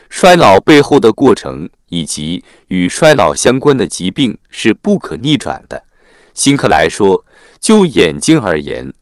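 Male narrator, lowish pitch, expressive intonation, formal article reading, dry close sound. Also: dry recording.

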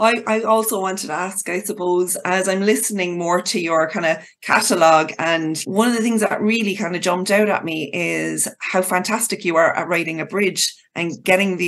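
Female podcast interviewer, slightly low voice, conversational questioning of a guest. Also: fairly bright tone.

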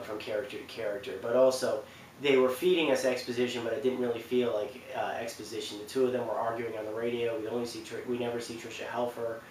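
A man in his twenties giving casual, unscripted countdown commentary, halting and rambling, from a couch in a living room. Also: mid-range voice. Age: 20s. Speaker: video presenter. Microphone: camera mic at a distance. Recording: roomy, distant mic, faint noise.

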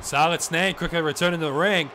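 A man calls a wrestling match in fast, swearing play-by-play, slipping "mate" in often. His voice sits a little high for a man. Steady noise runs behind him on the recording. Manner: excited, profane, drops in "mate" often. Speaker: play-by-play commentator. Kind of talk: wrestling commentary on a video game match. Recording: noisy background.

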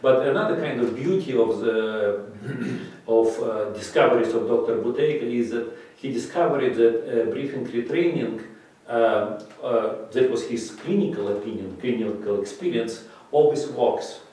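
A man's voice: mid-range voice, mellow, far from the mic. Middle-aged man, non-native, echoey room. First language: not English; English his third language. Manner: halting, many fillers and restarts, gestures while talking. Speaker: interviewee, breathing method teacher. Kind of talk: unscripted interview answers. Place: bright office room, indoors.

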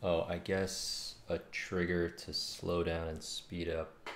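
Deep, creaky-voiced man muttering while coding. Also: little pitch movement, slow pace. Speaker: game developer streaming. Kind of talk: casual think-aloud coding commentary. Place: indoor home room at a desk. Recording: roomy, loud keyboard typing noise. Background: keyboard typing and clicks.

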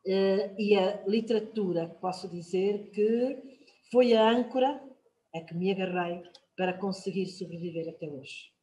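An older woman from São Tomé and Príncipe with a European Portuguese accent, speaking on a video call panel, reflective and measured with long "ah" pauses. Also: mid-range voice, dark tone. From São Tomé and Príncipe, raised in Portugal. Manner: reflective, measured, with drawn-out "ah" fillers. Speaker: guest writer. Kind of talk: panel talk, personal account. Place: home room.

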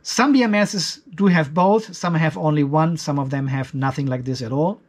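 A man with a distinctly high voice and as his walks through a technical comparison. He sounds like a video host in his fifties, explaining details step by step and giving his own opinions. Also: moderate pace. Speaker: video host, off-grid tech reviewer. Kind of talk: explanatory tech review over a spreadsheet.

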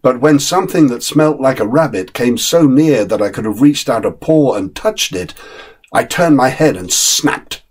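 A man voicing a loyal, plain-spoken dog who narrates a storybook tale in a measured, wistful, husky way. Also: medium pitch, animated. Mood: wistful, earnest. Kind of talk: dramatic short story reading.

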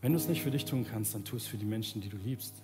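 A man in his thirties, a pastor preaching earnestly on a large church stage, dropping soft and low and then rising to plead, ending in prayer. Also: fast. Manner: earnest, swings between soft low passages and raised pleading. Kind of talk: sermon and closing prayer. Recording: church sermon with music playing underneath. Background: soft instrumental music.

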